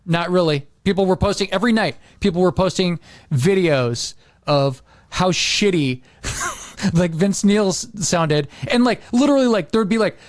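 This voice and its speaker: A man's voice: high voice, animated, normal speed, no echo, studio quality. A middle-aged man chatting casually on a podcast in a rambling, blunt way, with some swearing. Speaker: podcast host. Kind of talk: casual chat.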